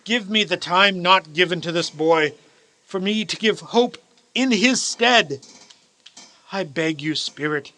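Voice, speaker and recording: Radio play lead: old miser turned earnest, male actor, high bright voice, grandly emphatic. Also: slow, heavily acted. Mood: desperate, pleading. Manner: dramatic, emphatic, formal old-fashioned phrasing. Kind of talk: theatrical radio play acting.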